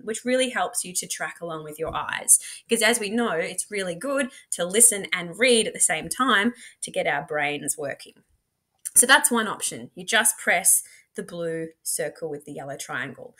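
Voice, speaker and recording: Bright-voiced woman giving a casual tutorial. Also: medium pitch. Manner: chatty, talks through each step aloud. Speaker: teacher presenting a software tutorial. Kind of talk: casual instructional screen-share demo. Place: indoor room at a computer.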